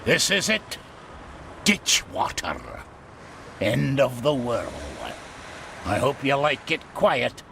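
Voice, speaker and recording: A gruff grumbler from a video game story. He is curt and impatient, with a gravelly mid-pitched voice over clear music. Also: big pitch swings, slow pace, long pauses, heavily acted. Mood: dour.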